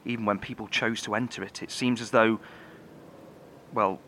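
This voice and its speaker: A man's voice: on the low side, fairly even intonation, a bit bright, a little hiss or hum. Grave, tense male bunker engineer. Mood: grave, analytical. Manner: halting, solemn, careful explaining. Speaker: lead engineer. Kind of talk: horror audio drama dialogue.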